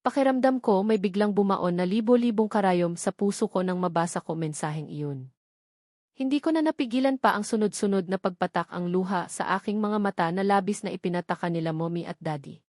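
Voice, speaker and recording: A woman's voice: mid-range voice, fairly quick, darkish tone, no echo, clean studio sound. A woman with a Filipino accent reading a dramatic first-person novel as an audiobook narrator.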